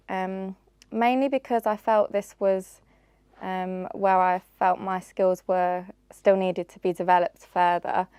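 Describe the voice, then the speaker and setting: Smooth low-voiced woman, even delivery. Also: no echo, clean studio sound. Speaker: research assistant, former master's student. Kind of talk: recorded career testimonial.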